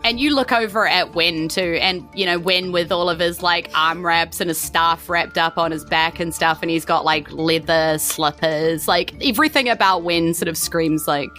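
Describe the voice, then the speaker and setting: A woman's voice: lowish voice, normal speed, few pauses, pushed and bright, soft background music. A woman with a New Zealand accent, running a tabletop game as game master in an informal, conversational storytelling style. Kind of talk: improvised tabletop game narration.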